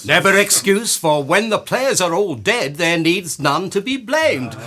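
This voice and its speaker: A man's voice: high voice, expressive, a little slow, bright, forceful tone, exaggerated voice. A man playing a jovial, teasing noble duke in a Shakespearean radio play. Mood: amused. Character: jovial, teasing noble duke presiding over wedding revels.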